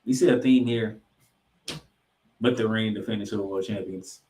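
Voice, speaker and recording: A man hosting a sports podcast, giving football commentary in an emphatic way, with dramatic pauses and repeated phrases. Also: fairly low pitch, fairly even intonation, dark tone, a little hiss or hum.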